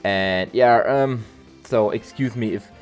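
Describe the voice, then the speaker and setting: A man, a bit nasal from allergies, doing a halting voiceover for a game build video with lots of ums and restarts. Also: fairly low pitch. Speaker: zoo-building game creator. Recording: soft guitar music behind.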